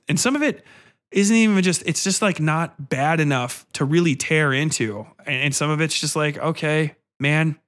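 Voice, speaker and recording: A man with a slightly high voice talks off the cuff as a podcast host. His delivery is loose and rambling, full of blunt swearing and self-deprecating asides. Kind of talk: rambling solo podcast monologue, casual chat. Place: indoors at a computer.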